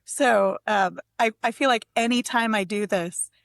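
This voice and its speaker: A woman's voice: fairly high pitch, unhurried, gravelly, dry recording, clean studio sound. A woman speaking casually as a poet guest in an interview, reflective and tentative, with a self-amused way of talking.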